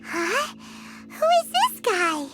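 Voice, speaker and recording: A squeaky little floating companion. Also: female voice, very animated, takes it slowly, full character voice. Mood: curious. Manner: squeaky, childlike, gasping. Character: tiny floating female companion, curious and excitable. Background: loud game music.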